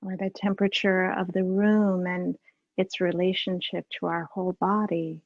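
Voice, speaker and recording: A woman guides a meditation in a slightly low voice that stays very even. She is unhurried, leaving long pauses between phrases. The sound is dry and close to the room-free, though a little dull and lo-fi. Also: dry recording. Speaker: meditation teacher.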